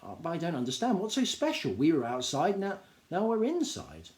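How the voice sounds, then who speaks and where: A mild, polite and bewildered young man, as the narrator voices him. His tone stays close to a plain speaking voice, hesitant and lively in pitch. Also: fairly quick. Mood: puzzled.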